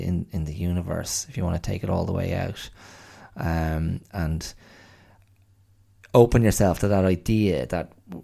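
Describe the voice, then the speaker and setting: A man with a middle-class Dublin accent talks through his thoughts in a wry, musing monologue. His voice sits very low with a gravelly fry, dark and mellow in tone. He takes his time, unhurried and reflective. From Ireland. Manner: musing, wry, thinks aloud. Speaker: podcast host.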